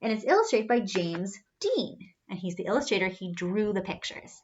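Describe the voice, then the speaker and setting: A woman reading a picture book aloud to young children in an animated, teacherly way, with singsong refrains. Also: medium pitch, moderate pace. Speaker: read-aloud storyteller for children. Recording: slightly dull, lo-fi sound.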